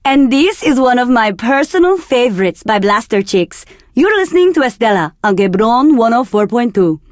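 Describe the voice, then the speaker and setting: Game radio DJ: seductive, self-assured, slightly high, very close and dry. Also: female voice, big pitch swings, moderate pace, no echo. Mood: flirty, upbeat. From Argentina. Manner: sultry, seductive, teasing. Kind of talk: scripted game radio DJ patter.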